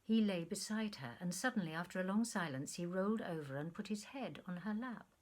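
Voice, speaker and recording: A woman actor reading a short story as literary narration, in an expressive, measured storytelling manner. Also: on the low side.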